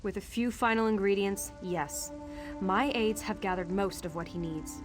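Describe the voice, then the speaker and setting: Actress as a steady war leader over music. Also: female voice, medium pitch, normal speed, close to an everyday voice. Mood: serious, steady. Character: steady female war leader, cautious and practical. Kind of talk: fantasy video game acting. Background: clear music.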